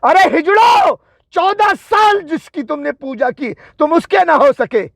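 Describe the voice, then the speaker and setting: A man's voice: very high-pitched, a little slow, vocal fry, fairly bright tone, no echo, shouting, clean studio sound. A man delivering an angry, confrontational political and religious tirade, taunting with rhetorical questions and emphatic repetition.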